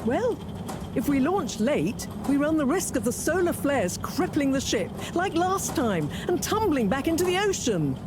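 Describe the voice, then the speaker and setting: A high-pitched, exasperated woman scientist in a video game. Her delivery swings widely and theatrically, brisk and sharp-tongued, with noticeable noise behind the voice. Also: very animated. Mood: worried, explaining.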